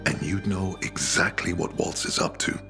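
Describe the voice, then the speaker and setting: An actor playing a weathered older rebel radio broadcaster in a video game, gravelly and persuasive, with a measured, dramatic delivery. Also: male voice. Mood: earnest, persuasive. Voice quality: gravelly, very deep. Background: music.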